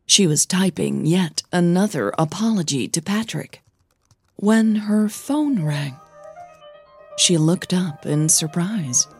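A woman's voice: fairly low pitch, lively intonation, slow, husky, no echo, soft background music. A woman narrating a fiction audio drama in a scripted storytelling style.

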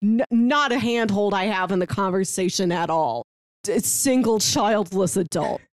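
A woman's voice: mid-range voice, animated, a little slow, no room sound, studio quality. A woman in her early thirties co-hosting a casual film discussion, retelling scenes conversationally with bits of quoted dialogue. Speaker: podcast co-host.

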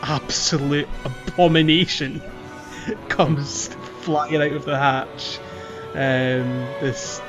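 A man co-hosting a casual video game podcast, recapping with animated, dramatic emphasis. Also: fairly high pitch, drawn-out words. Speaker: podcast co-host. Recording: music playing under the voice. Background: background music.

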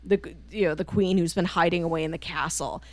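A woman's voice: lowish voice, fairly even intonation, a little fast, dry recording. A woman co-hosting a podcast, retelling stories in casual chat and often chuckling through her sentences. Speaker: podcast co-host. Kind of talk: casual chat reviewing manga.

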